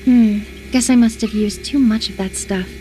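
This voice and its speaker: A woman playing an obsessive, sweetly menacing young female captor in a dramatic horror visual novel, coaxing with a sharp edge. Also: a little high, fairly even intonation, moderate pace, slight background noise, close to an everyday voice, rough recording. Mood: eerie, accusing.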